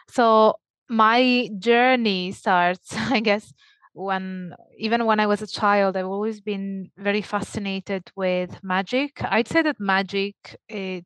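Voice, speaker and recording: A woman from Italy with an Italian accent, a guest scholar speaking in an interview, laughing often and speaking in quick bursts. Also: medium pitch, clean tone, dry recording, low-quality recording. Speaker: academic guest. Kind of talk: conversational interview.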